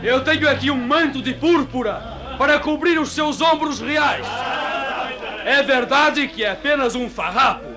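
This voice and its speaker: High-voiced scornful Roman soldier. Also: male voice, measured pace. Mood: mock reverence. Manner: theatrical mock reverence. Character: scornful Roman soldier, mock-reverent tormentor. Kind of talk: old-fashioned radio drama acting.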